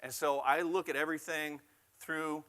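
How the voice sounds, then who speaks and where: A man from Oregon opening a keynote talk in an event hall, energetic, drawing the audience in. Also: a little high, moderate pace. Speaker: guest keynote speaker.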